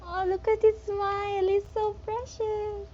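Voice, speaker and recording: A woman's voice: extremely high pitch, flat delivery, unhurried, a little mellow, dull audio, slight background noise. A woman casually reacting as she streams a game.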